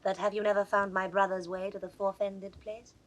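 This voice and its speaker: A woman's voice: mid-range voice, level tone, fairly quick, close to an everyday voice, rough recording. A woman playing a jealous, possessive royal lady, probing and insistent, in classical Shakespeare audio drama style. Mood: suspicious, coaxing.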